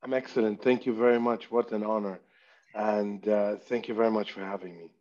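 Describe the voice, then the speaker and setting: A man from Saudi Arabia in his thirties, speaking slowly and reflectively as an artist guest on a video call interview, sometimes talking about himself in the third person. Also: on the low side, flat delivery, darkish tone. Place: small room indoors. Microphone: headset on video call. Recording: dull lo-fi call audio.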